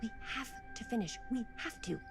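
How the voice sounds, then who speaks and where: A driven young woman from a horror video game, sounds urgent and insistent. Her pitch moves a lot, and a gravelly edge runs under her voice. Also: lively intonation, moderate pace, over music.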